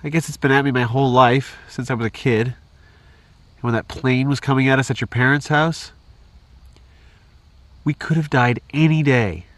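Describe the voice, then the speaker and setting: A man playing an unsure, self-doubting young man in an audio drama, stammering and halting as he talks. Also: medium pitch, fairly even intonation, no echo, close to an everyday voice. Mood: shaken. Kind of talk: post-apocalyptic audio drama acting.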